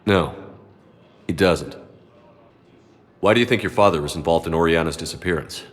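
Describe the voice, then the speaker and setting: An actor playing a steadfast, protective military commander in a video game, with a terse, grave and restrained delivery. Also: male voice, low voice, normal speed, long reverb tail, close to an everyday voice. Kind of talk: dramatic video game acting.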